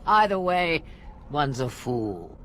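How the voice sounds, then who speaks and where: A woman's voice: low voice, big pitch swings, slow, fairly bright tone, slight background noise, exaggerated voice. An older woman playing a cryptic, mocking old witch in a fantasy game, theatrical and imperious. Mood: wry, dismissive. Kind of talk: fantasy video game acting.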